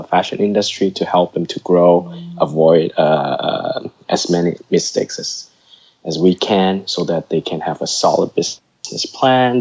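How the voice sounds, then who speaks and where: A man's voice: sits low, a little mellow, husky, muffled recording. A man from Hong Kong with a slightly American accent, talking as an interview guest with lots of fillers and restarts. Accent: American-tinged English. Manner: hesitant, many fillers and restarts. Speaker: guest, startup co-founder. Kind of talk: casual interview chat.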